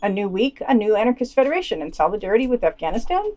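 A woman's voice: medium pitch, very animated, very fast, darkish tone. A woman hosting a podcast, reading scripted news items and quotations with dry, sardonic commentary.

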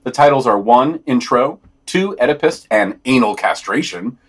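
A man's voice: mid-range voice, animated, normal speed, fairly bright tone, breathy edge. A man reading out scripted podcast announcements. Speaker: podcast reader.

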